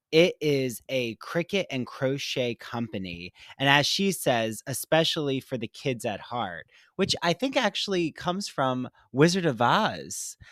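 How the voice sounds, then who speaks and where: A woman's voice: extremely low pitch, dry recording. Gushing woman in her thirties, dry sound. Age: 30s. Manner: gushing, animated, with theatrical gasps. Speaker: podcast co-host. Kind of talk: casual chat with sponsor reads.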